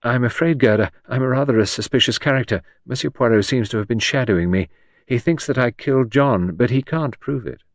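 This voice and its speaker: A composed but tired woman from an audiobook mystery, acted by a man. She speaks deliberately and keeps her self-possession. The recording is close and dry. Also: on the low side, animated, dry recording. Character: Henrietta, weary, composed, protective woman.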